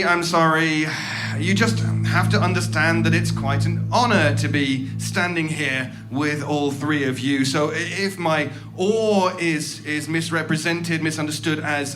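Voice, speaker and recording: An actor playing a smug, tactless agent of a secretive organization in a horror audio drama, glib and quick to stammer. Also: male voice, a little high, fairly bright tone. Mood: defensive, apologetic. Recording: background music under the voice.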